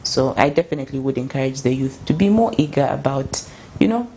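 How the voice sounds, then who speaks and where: A woman's voice: extremely low pitch, animated, a little fast, mellow, slight background noise, rough recording. A young Zambian woman, an author guest, talking earnestly and passionately in a casual interview, with frequent restarts. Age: young adult.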